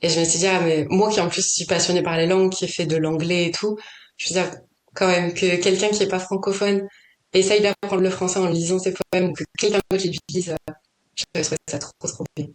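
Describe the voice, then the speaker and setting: A woman's voice: fairly low pitch, steady tone, brisk, echoey room. A woman poet chatting as a podcast guest, thinking aloud with many fillers and restarts. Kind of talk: casual conversation.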